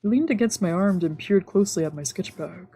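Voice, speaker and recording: A woman's voice: fairly low pitch, brisk. A woman streamer reading visual novel narration aloud for a let's play. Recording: soft game music behind.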